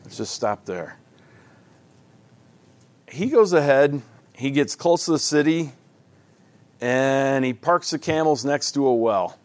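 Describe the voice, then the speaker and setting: A man's voice: mid-range voice, expressive, slow pace, long pauses, darkish tone. A man leading a Bible study in a casual, folksy, conversational way, often restarting his sentences.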